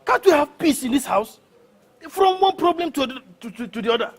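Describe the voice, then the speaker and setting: A man's voice: very high-pitched, expressive, brisk. A man doing an irate Pidgin-speaking gateman. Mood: exasperated. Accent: Nigerian Pidgin. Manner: scolding, exasperated.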